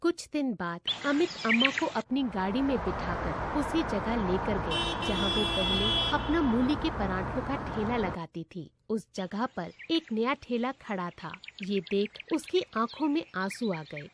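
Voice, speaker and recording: A woman's voice: medium pitch, expressive, unhurried, hardly any pauses, very noisy. A woman reading scripted narration for a moral story.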